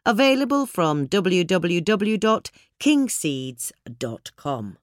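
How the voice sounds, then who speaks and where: Woman reading gardening notes, lively pitch. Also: no room sound, clean studio sound. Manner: measured, clear reading of gardening advice. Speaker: reader. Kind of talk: scripted narration.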